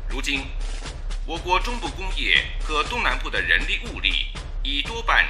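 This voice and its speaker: A solemn 1930s wartime news announcer in a radio drama. He declaims formally with animated pitch, over clear background music. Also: moderate pace, full character voice.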